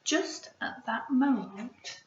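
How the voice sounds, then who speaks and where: A woman, a primary school teacher, reading a storybook aloud to children in an expressive way, with hushed asides to her listeners. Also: sits high, slow. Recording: roomy echo, slightly dull sound.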